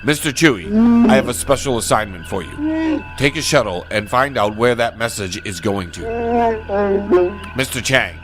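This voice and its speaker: A man's voice: very animated, moderate pace, pauses often, close to an everyday voice. A man playing a resolute starship captain in a sci-fi audio drama, authoritative and clipped in his delivery. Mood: firm command. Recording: loud music and engine rumble behind.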